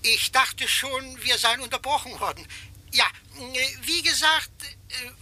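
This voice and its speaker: An actor playing a fussy, overly polite solicitor who speaks with halting, insinuating courtesy in a radio drama. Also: male voice, very high-pitched, bright, forceful tone, exaggerated voice. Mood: smooth, insinuating. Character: fussy middle-aged male solicitor, smooth, insinuating. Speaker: lawyer on the phone. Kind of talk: German radio drama acting.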